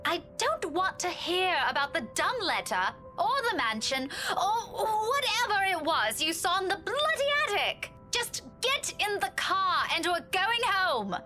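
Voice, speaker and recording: A woman's voice: very high voice, sing-song, a little slow, few pauses, sharp tone, soft background music, exaggerated voice. An actress playing an irritable, tired young woman with a brusque, impatient manner in a visual novel game. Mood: irritated, tired. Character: irritable, tired young woman driving her friend home.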